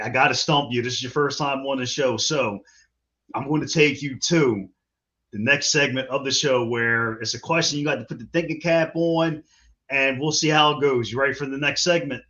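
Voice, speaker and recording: A man's voice: mid-range voice, steady tone, moderate pace, sharp tone, dull audio. A man hosting a wrestling interview show, speaking casually, often repeating and stumbling over his words. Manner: repetitive, stumbling, laughs often. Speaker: show host.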